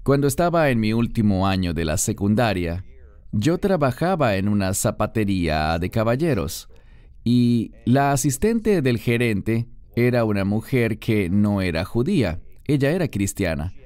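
A man's voice: on the low side, big pitch swings, a little slow, a little mellow, smooth, no echo. A man being interviewed as a guest, telling his personal story in an earnest, storytelling way, heard as a Spanish voice-over.

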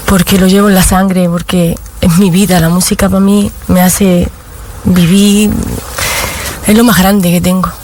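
A woman's voice: on the low side, little pitch movement, measured pace, darkish tone, a little hiss or hum. A woman with an Andalusian accent, a flamenco singer from Granada, chatting in an interview, laughing often and talking in short loose fragments. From Granada, Spain. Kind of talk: casual interview chat.

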